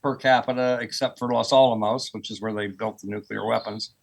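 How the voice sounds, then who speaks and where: A man's voice: fairly low pitch, fairly even intonation, brisk, creaky. An American man in his sixties answering interview questions as an academic guest, explaining things in an informal, conversational way. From United States, rural Oklahoma. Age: 60s. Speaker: guest, earth sciences professor.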